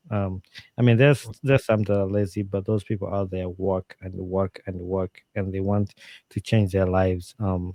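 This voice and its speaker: Earnest man, lowish voice. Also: dry recording. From Uganda. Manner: earnest and animated, with frequent fillers and restarts. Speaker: podcast guest. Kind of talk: casual interview talk, giving advice.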